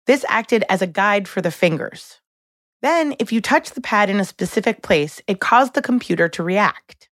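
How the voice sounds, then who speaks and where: A woman's voice: mid-range voice, lively intonation, normal speed, a bit bright, no room sound, clean studio sound. A woman hosting a documentary podcast, reading scripted narration in a polished storytelling format.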